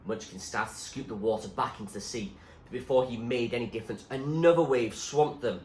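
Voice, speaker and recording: A man reading a story aloud in an expressive, suspenseful narrating style. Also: mid-range voice, fairly quick. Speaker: reader, teacher. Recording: echoey room with faint background noise.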